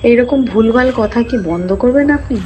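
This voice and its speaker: A woman on a phone call, annoyed and scolding, firing back sharp retorts. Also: a little high, brisk, a little hiss or hum. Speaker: call recipient. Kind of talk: phone conversation.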